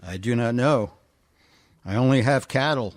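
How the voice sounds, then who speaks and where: A man's voice: medium pitch, unhurried, close to an everyday voice. A battered college frat leader played by a man in an amateur radio comedy sketch. He sounds dazed as he answers his housemates.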